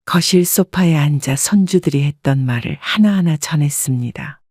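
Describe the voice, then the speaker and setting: A woman narrating a first-person family story in a calm, measured manner. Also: sits low, dry recording, studio quality.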